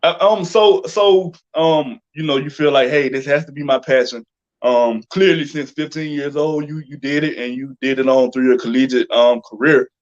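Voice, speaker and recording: A man's voice: mid-range voice, moderate pace, lo-fi sound. A man hosting a casual interview, asking informal questions in a rambling, roundabout way. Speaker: interview host.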